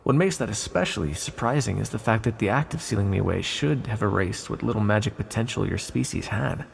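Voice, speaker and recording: Casting: ancient sealed villain male actor, low-pitched and creaky, measured, gently reassuring delivery for intimate audio roleplay. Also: a little hiss or hum. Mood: amazed, admiring. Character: ancient sealed villain, male magical being, calm, tender. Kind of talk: ASMR roleplay, scripted monologue to the listener.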